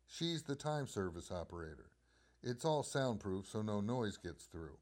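A man's voice: lowish voice, very animated, measured pace, darkish tone. A man playing an obliging telephone company supervisor in a radio drama, explaining things courteously. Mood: informative, businesslike. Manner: courteous, explanatory, crisp phrasing.

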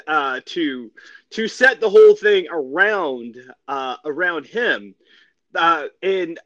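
A man co-hosting a wrestling podcast, chatting casually about sport in a halting way, repeating phrases as he searches for words. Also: fairly high pitch, a bit bright, no room sound, muffled recording. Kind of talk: casual sports recap chat.

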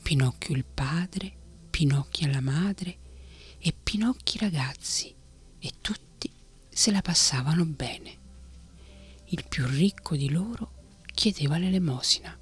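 A fretful old woodcarver murmuring in a hushed, airy whisper, as a woman puts him on. His voice sits low and moves slowly. It is a storybook father, quietly grumbling at his creation. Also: lively intonation, husky, soft background music, full character voice. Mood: musing.

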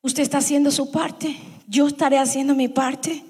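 A woman's voice: sits high, level tone, normal speed, darkish tone, big-room sound. A middle-aged woman preaching a sermon to her congregation, emphatic and fond of repeating her lines.

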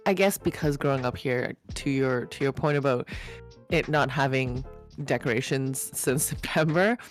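A young woman co-hosting a casual podcast chat, telling stories in a relaxed way. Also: rumbling low, moderate pace, faint music behind. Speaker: podcast co-host.

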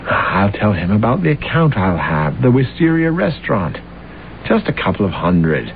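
An actor playing a scheming, boastful lawyer husband in a radio drama, smooth-talking and theatrical. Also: male voice, fairly low pitch, sing-song, normal speed. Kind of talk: 1970s radio drama acting. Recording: tinny hissy old radio broadcast.

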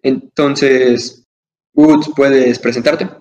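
A man's voice: mid-range voice, steady tone, drawn-out words, darkish tone, rough recording. A young man hosting a podcast interview, asking long rambling questions with fillers and restarts. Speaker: podcast host. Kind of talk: casual interview.

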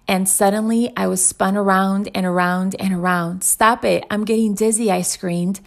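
Storybook teacup played by a woman: plaintive, dramatic, creaky, recounting its ordeals in a parable. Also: medium pitch, fairly even intonation, normal speed, lightly acted. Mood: protesting. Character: talking teacup recounting its painful making, plaintive. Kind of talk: inspirational parable read aloud.

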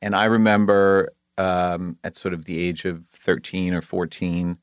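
A man's voice: deep voice, steady tone, measured pace, clear, resonant tone, no echo. A middle-aged man from Denmark, a network executive, chatting as a podcast guest in a laid-back way with dry humor. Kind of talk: casual interview conversation.

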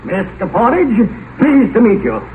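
Through a tinny, faintly noisy old radio recording comes a brash American tycoon. He blusters bluntly and boastfully in a high, animated voice, full of radio-play swagger. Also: unhurried, full character voice. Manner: blunt, boastful, anti-intellectual. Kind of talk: 1950s radio drama acting. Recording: narrow-band, noisy vintage radio broadcast.

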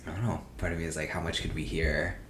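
Laid-back male host, very low voice, rambling delivery; echoey room with faint noise. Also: flat delivery, fast, fairly bright tone. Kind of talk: casual chat.

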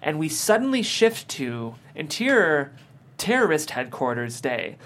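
A woman with a very deep voice narrates a screenplay, reading scene headings and action. There is some noise behind her. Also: very animated, a little slow, fairly bright tone. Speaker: stage-direction narrator. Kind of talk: scripted narration at a table read.

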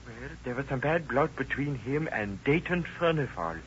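An actor playing a plain-spoken, folksy farmer witness in 1930s radio drama style, matter-of-fact. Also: male voice, mid-range voice, expressive, moderate pace, a little hiss or hum, lightly acted. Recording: dull vintage radio recording.

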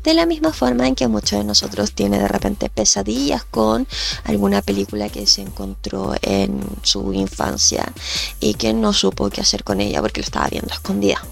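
A woman's voice: medium pitch, very animated, moderate pace, vocal fry, soft background music. A woman hosting a podcast, sounding nasal from allergies and explaining thoughtfully with fillers and restarts. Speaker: podcast host and researcher.

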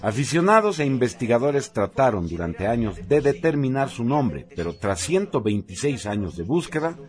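Male radio newsreader, lively pitch swings. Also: moderate pace. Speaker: radio news presenter. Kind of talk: scripted radio newscast.

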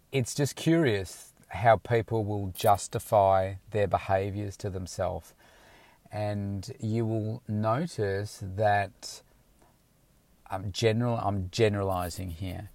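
A man's voice: on the low side, vocal fry, a little mellow, dry recording. A man, a fitness coach talking frankly and casually from his car, rambling with many restarts. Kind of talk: casual motivational talk to camera. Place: inside a car.

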